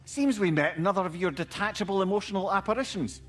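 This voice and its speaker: A man's voice: sits high, fast, fairly bright tone, faint music behind. A man playing a plainspoken adventuring companion in a video game, blunt and colloquial with dry wit. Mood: curious, wry.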